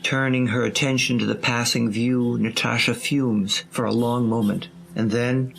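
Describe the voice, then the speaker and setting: A man's voice: mid-range voice, steady tone, a little slow, gravelly, a bit bright. A man reading scripted present-tense narration for an audio drama.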